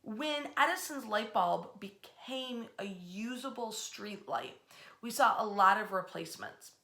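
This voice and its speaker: A woman's voice: mid-range voice, expressive, unhurried, sharp tone. A woman hosting a history series, narrating expressively in a bedtime-story style and speaking directly to the listener.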